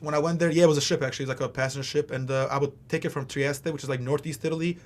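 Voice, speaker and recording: A man's voice: a little high, steady tone, rapid-fire. A man who grew up in Philadelphia after early years in Albania, a mixed martial arts fighter talking casually as a podcast guest, rambling with many fillers. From Albania, raised in Philadelphia. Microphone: studio podcast mic.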